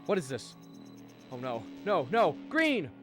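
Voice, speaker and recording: A man's voice: fairly high pitch, wildly swooping pitch, unhurried, fairly bright tone, heavily acted. An actor playing an earnest husband and father who narrates his alien encounter in a 1950s radio drama style. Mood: urgent, frightened. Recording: old radio drama with music behind.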